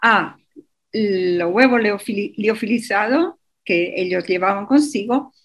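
A woman's voice: mid-range voice, unhurried. A woman guest on a radio interview, explaining at length with many hesitations and restarts. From Europe.

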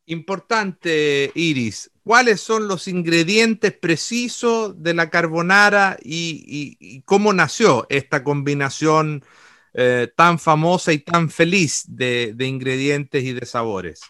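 A man's voice: on the high side, lively intonation, slow pace, pushed and bright, dry recording, studio quality. A man hosting a radio interview, prompting his guest with questions and stressing key points. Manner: prompting questions, repeats for emphasis. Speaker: radio host.

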